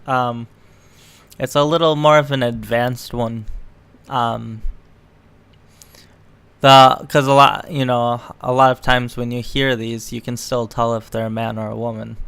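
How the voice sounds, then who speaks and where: A man's voice: mid-range voice, vocal fry, fairly bright tone, clean tone, no echo. A man reading news articles aloud and adding casual, sarcastic, opinionated commentary as an online political commentator. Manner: sarcastic, mocking.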